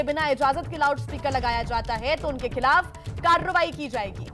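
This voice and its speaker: A woman's voice: high voice, a bit bright. A woman anchoring live TV news in an emphatic manner, repeating key points as she presents.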